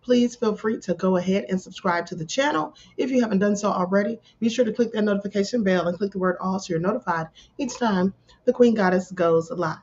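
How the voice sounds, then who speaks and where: A woman hosts a casual live stream, her voice a little hoarse. She reels off viewers' names in a relaxed, chatty way. The audio is dull and slightly lo-fi. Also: medium pitch, a little fast. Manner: greets chat viewers by name. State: hoarse, sore throat. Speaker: livestream host. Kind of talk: casual livestream chat.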